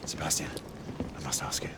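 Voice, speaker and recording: An actor playing a sober, loyal knight in a video game, with a measured, formal way of talking. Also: male voice, close to an everyday voice. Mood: sober, wary. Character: steadfast, sober male knight, loyal second-in-command. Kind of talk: video game cutscene acting. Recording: loud engine and ambient noise.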